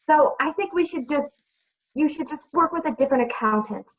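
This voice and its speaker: A woman acts out a lovesick office accountant who is fed up and pleading. Her voice is high and melodramatic, in radio play comedy style, with slightly muffled sound. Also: brisk. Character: lovesick, fed-up female accountant having an affair.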